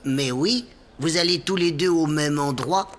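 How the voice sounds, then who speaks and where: A man's voice: a little high, a little slow, natural voice. Wry cartoon animal doctor played by a man. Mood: sly. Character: grumbling, wry adult male animal doctor. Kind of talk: French children's cartoon dubbing.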